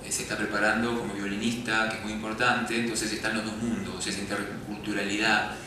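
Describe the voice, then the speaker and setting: Guy talking on a festival panel, low voice, heard from far off in a booming hall with noise behind. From Argentina. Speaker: documentary filmmaker on a panel. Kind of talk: panel discussion after a screening. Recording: very echoey hall, distant mic, background noise.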